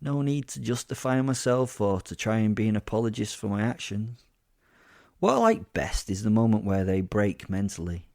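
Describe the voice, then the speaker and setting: A man's voice: fairly low pitch, big pitch swings, normal speed, creaky, dry recording, clean studio sound. A man voicing a cruel, centuries-old werewolf confessing in a melodramatic monologue for a horror-comedy audio drama. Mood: gleeful, matter-of-fact. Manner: melodramatic monologue, from weak whispers to loud crescendos.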